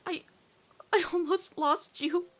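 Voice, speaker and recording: A woman's voice: very high voice, slow pace. A woman voicing a devoted, earnest teenage girl in an emotional cartoon comic dub, pleading and faltering as she speaks. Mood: breaking down. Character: devoted, earnest teenage girl, fearful of losing loved ones.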